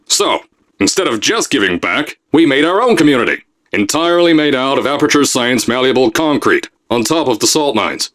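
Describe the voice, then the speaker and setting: Actor as a brash boastful company boss, barking pre-recorded announcements for a game. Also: male voice, medium pitch, animated, normal speed, lightly acted. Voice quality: loud, boastful salesman bluster.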